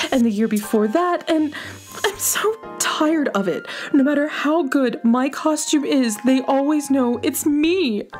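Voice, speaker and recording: High-voiced, fretful giant forest girl. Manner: fretful, plaintive. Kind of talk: children's radio play acting.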